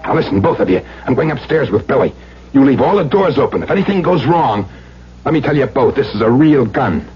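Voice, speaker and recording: An actor playing a cold, taunting criminal on the run, talking tough, domineering slang in an old-time radio drama. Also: male voice, medium pitch, very animated, a little fast, husky. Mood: tense threatening. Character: menacing armed fugitive criminal, cold and taunting. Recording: muffled radio recording with hum and noise.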